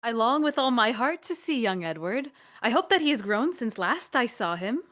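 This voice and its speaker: A woman's voice: a little high, sing-song, moderate pace, fairly bright tone. A woman playing a dignified, bitter old royal grandmother in a Shakespearean radio play, speaking formal declamatory verse. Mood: fond.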